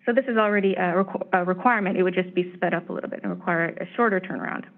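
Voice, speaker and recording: Slightly hoarse woman on a tinny line. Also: fairly low pitch. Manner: steady, measured, reads prepared remarks. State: slightly hoarse, clearing throat. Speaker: staff presenter at a commission meeting. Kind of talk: formal briefing on proposed legislation. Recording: tinny phone-line audio.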